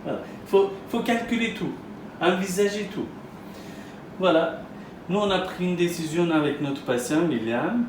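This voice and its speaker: A man who is not a native speaker, explaining as a surgeon in a halting, repetitive consultation style. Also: high-pitched, background noise. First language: not French. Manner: halting, repetitive phrasing in non-native French. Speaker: hair transplant surgeon presenting a patient. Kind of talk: explanatory consultation talk, interviewing. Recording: echoey room with loud guitar music. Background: guitar music.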